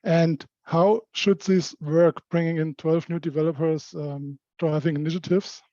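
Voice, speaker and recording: A man presenting at a business community call as a working group member, speaking haltingly with non-native phrasing. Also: a little high, fairly even intonation, mellow. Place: indoor meeting room, on-site group meeting. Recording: dull, echoey meeting-room call audio.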